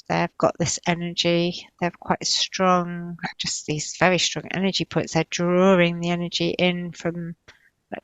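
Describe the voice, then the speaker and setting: A woman giving an unscripted, trance-like spiritual channeling, describing visions in halting, repetitive, searching phrases. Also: on the low side, dry recording, poor audio. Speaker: spiritual healer channeling in a session.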